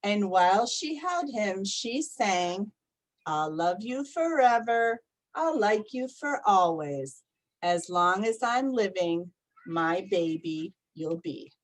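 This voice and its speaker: A woman reading a children's story aloud in a gentle, repetitive storybook cadence. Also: mid-range voice, lively intonation, slow.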